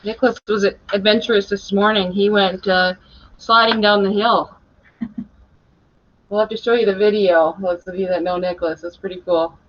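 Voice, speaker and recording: Female teacher, informal and encouraging, average pitch, muffled video-call sound. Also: steady tone, normal speed. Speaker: special education teacher presenting. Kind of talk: casual presentation on a video call. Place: indoor school room, on a video call. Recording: dull webcam video-call audio.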